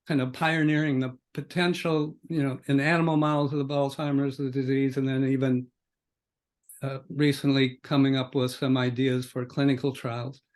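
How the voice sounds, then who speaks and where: An older man hosting a podcast conversation in an unscripted, halting way, as if thinking aloud. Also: medium pitch, darkish tone, smooth. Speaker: podcast host. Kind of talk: conversational scripted-free interview introduction.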